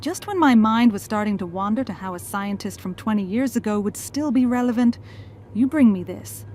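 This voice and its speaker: A woman's voice: mid-range voice, expressive, normal speed, a little mellow, close to an everyday voice. A woman playing a thoughtful, gracious scientist and mother in a fan audio drama. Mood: curious. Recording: faint background noise.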